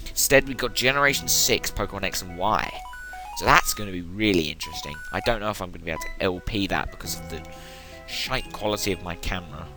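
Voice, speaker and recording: A young man giving rambling, casual commentary while playing a video game. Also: on the low side. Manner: chatty, rambling, self-interrupting. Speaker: let's play gamer. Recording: game music loud behind the voice. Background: game music.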